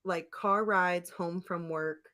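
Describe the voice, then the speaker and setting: A woman hosting a podcast in casual chat, informal and quick to chime in with reactions and agreement. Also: on the low side, fairly even intonation, sharp tone. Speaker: podcast host.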